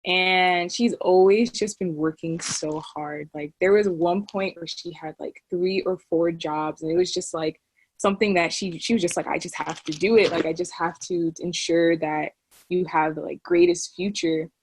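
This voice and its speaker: A woman's voice: lowish voice, steady tone, moderate pace, a little hiss or hum. A young woman, a college student on a panel, telling a heartfelt personal story with many "like" fillers. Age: young adult.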